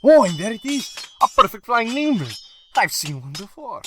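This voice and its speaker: A man's voice: extremely high pitch, sing-song, moderate pace, fairly bright tone, a little hiss or hum, full character voice. A man playing an odd old Russian onlooker in an old-time radio comedy. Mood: awed. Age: older.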